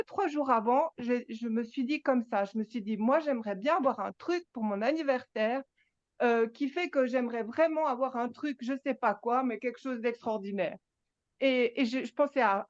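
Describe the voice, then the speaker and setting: A woman, sharing her experience at a spiritual gathering, halting and emphatic, with many restarts. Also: a little high, dry recording, lo-fi sound. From Switzerland. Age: 60s. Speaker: satsang participant.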